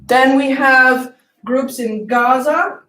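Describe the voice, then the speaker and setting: Slow-talking woman lecturing in an echoey room. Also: high voice, fairly even intonation, a bit bright. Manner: asks the class questions, checks in with "okay?" and "right?". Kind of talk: classroom lecture. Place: classroom.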